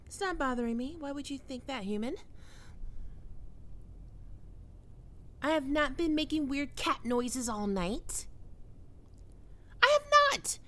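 A woman's voice: high voice, expressive, normal speed, fairly bright tone. A woman playing a skittish, defensive young catgirl roommate in an ASMR roleplay, stammering and prickly to hide her fear. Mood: flustered, defensive.

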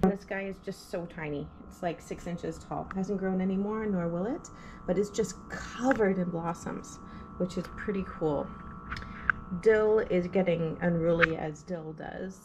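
Thirties woman, low-ish voice, friendly garden-vlog host, noisy home recording. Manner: chatty and upbeat, walking through her plants. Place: house interior, rooms and furnace room. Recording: noisy home room with furnace hum.

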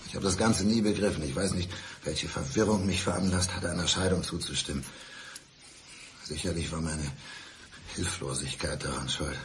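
Radio thriller: brooding, insistent blind ex-husband, lowish pitch, slightly noisy recording. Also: male voice, normal speed. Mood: bitter, brooding. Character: bitter blind divorced man, reclusive, needling his ex-wife. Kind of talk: 1990s German radio crime drama acting.

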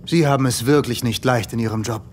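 Manipulative tempter, male actor for comic radio drama: insinuating, persuasive, medium pitch. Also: fast talker, a little hiss or hum, close to an everyday voice. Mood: coaxing. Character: smooth-talking, manipulative male tempter and buyer. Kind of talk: comic audio drama acting.

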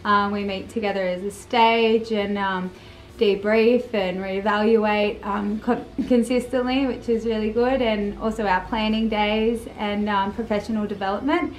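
A woman's voice: medium pitch, steady tone, a little slow, slight background noise. A young Australian woman, a kindergarten teacher, speaking earnestly to camera with some hesitant fillers. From South Coast of New South Wales, Australia. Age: young adult. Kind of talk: casual to-camera interview. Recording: echoey classroom.

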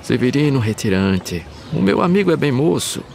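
A Brazilian actor playing a stout, patient older village carpenter who gives counsel in declaimed verse for an animated drama. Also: male voice, mid-range voice, moderate pace, creaky. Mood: earnest, counselling. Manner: declamatory, counselling, swooping emphasis. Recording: outdoor ambience noise behind the voice.

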